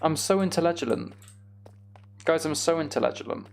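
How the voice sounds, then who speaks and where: A man's voice: on the high side, moderate pace, vocal fry. A man giving casual, self-deprecating gameplay commentary, musing aloud as he goes. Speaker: gaming video host.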